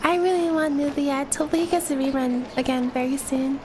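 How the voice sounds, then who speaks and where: Woman streamer, stuffy from a cold, high voice, casual chatter to viewers. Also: brisk, background noise. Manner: chatty, talks to viewers between game lines. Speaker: streamer playing a game. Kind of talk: casual live-stream chat.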